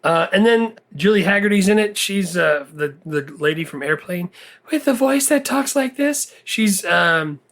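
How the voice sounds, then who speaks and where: A man with a gravelly voice co-hosting a movie podcast in a casual, halting conversational style. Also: high-pitched, expressive, fairly bright tone.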